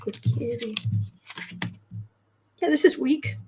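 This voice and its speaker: An American woman hosting a casual tarot livestream, chatting haltingly as she comments and reads out viewer messages, often repeating her words. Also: high-pitched, noisy background. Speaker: host of a live tarot and astrology show.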